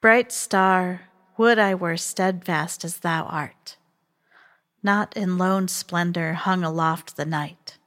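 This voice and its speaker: A woman reciting a poem with measured, deliberate phrasing. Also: fairly low pitch, fairly bright tone. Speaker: poetry reader. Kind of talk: poetry recitation.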